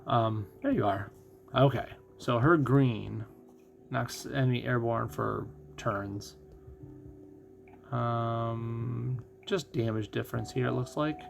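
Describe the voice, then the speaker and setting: A man giving casual live game commentary as a streamer, musing and thinking out loud as he goes. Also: mid-range voice, slow, vocal fry, a little hiss or hum. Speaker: gaming streamer.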